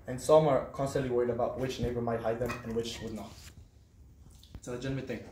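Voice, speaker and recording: A man's voice: mid-range voice, flat delivery, quick pace, a little mellow. A young man from Israel, a student giving a prepared personal speech in a small classroom. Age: teenager to young adult. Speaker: student speaker. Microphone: camera on a desk, several metres off. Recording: roomy, distant mic, faint noise.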